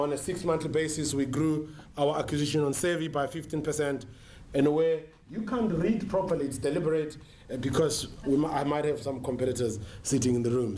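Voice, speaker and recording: A South African man with a fairly high voice delivers a business presentation in an echoing room. He speaks in measured, emphatic short phrases, with a little noise in the recording. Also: dark tone. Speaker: marketing executive giving a conference presentation. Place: conference room with an audience. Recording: roomy, echoing sound with faint noise.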